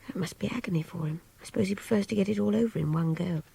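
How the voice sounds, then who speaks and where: A woman's voice: medium pitch, racing, vocal fry, mellow, breathy edge, heavily acted. An actress playing a reflective, idealistic young captive woman with an English accent, confiding her thoughts in a radio drama monologue. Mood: musing, wry. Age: young adult. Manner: intimate, confiding, often dropping towards a whisper.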